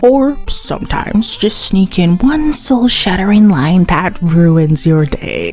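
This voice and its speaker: A woman's voice: fairly low pitch, darkish tone. A woman giving casual scripted narration for a game video, with a wry, self-mocking humor. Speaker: YouTube narrator.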